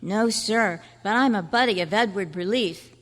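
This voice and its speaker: A woman's voice: mid-range voice, moderate pace, lightly acted. A woman playing an earnest, chatty fourth-grade boy in an old-time radio style performance. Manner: chatty, boyish slang. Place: large indoor room at a convention. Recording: echoey hall at a club meeting.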